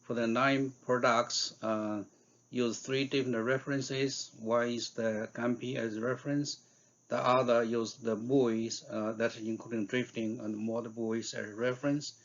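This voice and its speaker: A man giving a scientific conference talk in a halting way, with frequent hesitations and fillers. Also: mid-range voice, little pitch movement, highs cut off. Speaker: researcher giving invited talk.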